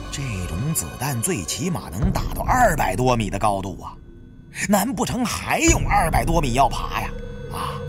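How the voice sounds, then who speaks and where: A man narrating a first-person adventure audiobook in a dramatic, suspenseful, theatrical manner. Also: mid-range voice. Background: faint background music.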